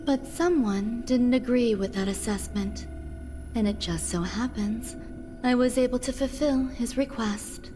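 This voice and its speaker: A woman playing a composed, mysterious healer in a game cutscene, speaking in a measured, detached, businesslike way. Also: on the high side, lightly acted. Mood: cool, composed. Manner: measured, detached, matter-of-fact. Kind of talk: dramatic video game cutscene dialogue. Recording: music under the voice.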